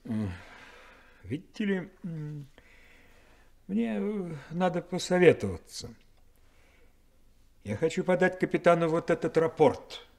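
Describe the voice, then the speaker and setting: An actor playing an evasive, resentful ship's chief engineer in a 1970s Soviet radio play, with a halting manner. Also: male voice, a little high, big pitch swings, creaky, a little mellow, exaggerated voice. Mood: hesitant, uneasy. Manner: hesitant, halting, hemming.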